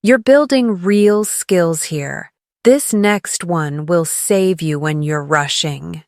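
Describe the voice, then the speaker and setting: A woman leading a scripted English lesson as a teacher, encouraging the learner and clearly enunciating model phrases for repetition. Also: lowish voice, slow, a little mellow, no room sound, clean studio sound. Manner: encouraging coaching.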